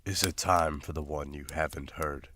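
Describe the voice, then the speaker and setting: A man's voice: rumbling low, steady tone, normal speed, background noise. A man playing a stoic, scholarly monster hunter in a visual novel, speaking in a formal, composed, literary way. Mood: calm, reassuring. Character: stoic, scholarly male monster hunter, calm and matter-of-fact. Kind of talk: scripted visual novel drama and journal narration.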